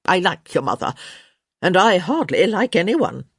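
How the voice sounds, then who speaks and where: Woman acting a sharp, imperious old countess. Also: fairly low pitch, big pitch swings, normal speed, husky, no echo, studio quality, heavily acted. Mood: prying. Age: elderly. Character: sharp, bossy old countess, thin, theatrical and blunt.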